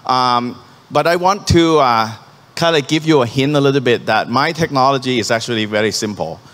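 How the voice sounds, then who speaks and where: A man from Thailand, a Thai native speaker, giving a startup conference talk on stage into a handheld mic, halting and earnest, with many ums and restarts. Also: mid-range voice, fairly bright tone. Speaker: startup founder presenting on stage. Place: conference venue hall. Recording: hall reverb from a live venue.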